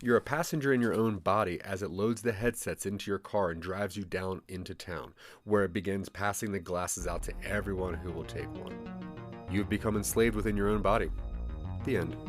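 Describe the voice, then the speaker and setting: A man's voice: sits low, a little fast. A man narrating a scripted second-person audio drama in a dramatic storytelling delivery. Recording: music under the voice. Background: background music.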